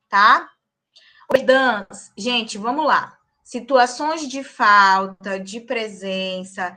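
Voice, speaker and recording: Bright, high-voiced young woman lecturing. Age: young adult. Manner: emphatic, tags points with check-in questions. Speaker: law lecturer and practicing lawyer. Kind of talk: lecture to a class.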